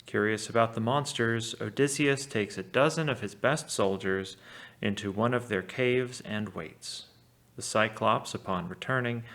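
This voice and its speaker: A man with a gravelly voice, a teacher reading a story aloud and commenting on it casually. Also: on the low side, fairly bright tone.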